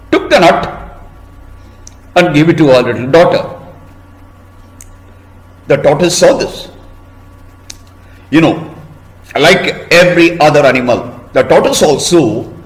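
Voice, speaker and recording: A man telling a story to children in a teacherly way, pausing to ask questions and explain words. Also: fairly high pitch, echoey room, low-quality recording. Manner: didactic, asks listeners questions and explains words.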